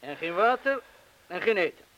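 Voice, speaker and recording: A reflective ex-bomb-disposal man in a radio drama. His voice sits a little high for a man, and he speaks in measured, understated phrases, sceptical and modest about himself. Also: big pitch swings, pushed and bright, dull audio, full character voice. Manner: measured, understated, terse replies. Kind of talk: radio play acting.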